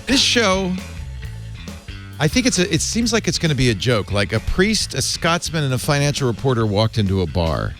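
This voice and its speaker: A man hosting a tech news talk show, joking with guests and reading sponsor spots briskly. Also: mid-range voice, soft background music. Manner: animated, jokey, reads ads briskly. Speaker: host.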